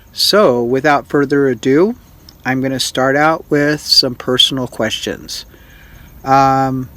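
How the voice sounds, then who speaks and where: A man in his sixties from Utah hosting a casual question-and-answer vlog, speaking in a folksy, unhurried way with frequent pauses and filler sounds. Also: mid-range voice, a bit bright. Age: 60s. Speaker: vlog host.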